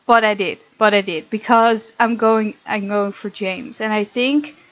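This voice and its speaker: A woman hosting a gaming video, reading visual novel text aloud and chatting casually in an expressive way. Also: medium pitch, unhurried, dry recording, dull audio.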